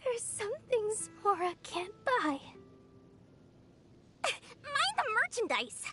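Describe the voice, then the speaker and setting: A woman's voice: very high-pitched, big pitch swings, slow, bright, forceful tone, slight background noise, heavily acted. A woman voicing a small, greedy, boastful girl merchant genie in an anime video game, with theatrical, wheedling salesmanship. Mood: brash, bossy.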